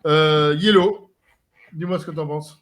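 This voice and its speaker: High-voiced man hosting a football podcast. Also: steady tone, a little slow, fairly bright tone. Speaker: podcast host.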